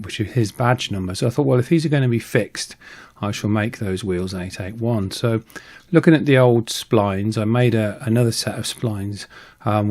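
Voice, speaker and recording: A man with a fairly low voice narrates a hobby tutorial as a voice-over. He speaks casually and conversationally, pausing often and filling gaps with ums as he explains his process. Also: darkish tone. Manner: hesitant, conversational, with frequent pauses and ums. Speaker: video creator and hobbyist prop maker. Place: voice-over recording.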